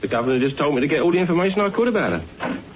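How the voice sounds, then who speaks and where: Thin radio sound, chirpy British detective's sidekick. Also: male voice, fairly high pitch, sing-song, racing. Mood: matter-of-fact. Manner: breezy, slangy, matter-of-fact. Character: chirpy, informal young male detective's assistant. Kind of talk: British radio drama acting. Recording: thin narrowband radio recording, faint noise.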